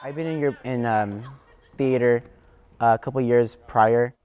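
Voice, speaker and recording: Clear-voiced teenage guy in an interview. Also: medium pitch, fairly even intonation, a little slow, highs cut off. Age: teens, high school senior. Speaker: student actor. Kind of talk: casual interview.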